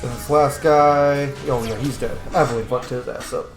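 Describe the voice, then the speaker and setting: A man's voice: medium pitch, fairly even intonation, normal speed. A man giving casual, sweary commentary as he plays a video game. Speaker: gaming streamer. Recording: roomy echo, game music behind. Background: video game music.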